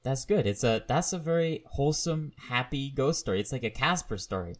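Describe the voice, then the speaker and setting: Male podcast host, medium pitch, informal and agreeable, a little tentative in his phrasing. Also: lively intonation, a little fast. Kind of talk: casual interview.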